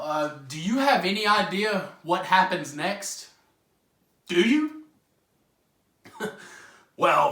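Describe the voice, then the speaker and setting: Stage monologue: young man as self-pitying, bitter playwright; profane, forceful, slow and halting, lurching between mutter and shout, roomy echo. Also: sits high, heavily acted. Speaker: student actor. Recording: roomy, echoing room sound.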